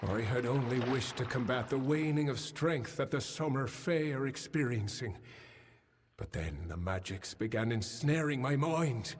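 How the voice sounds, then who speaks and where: A man playing a cursed, tormented and bloodthirsty fey lord of the hunt in a fantasy game, with a halting, menacing delivery. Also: mid-range voice, husky, close to an everyday voice. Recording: long cavernous reverb, music behind.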